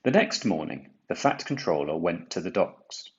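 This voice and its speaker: A man reading a children's storybook aloud, with deliberate, dramatic emphasis. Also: low-pitched, very animated, muffled recording. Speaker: storybook reader. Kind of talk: expressive children's read-aloud narration.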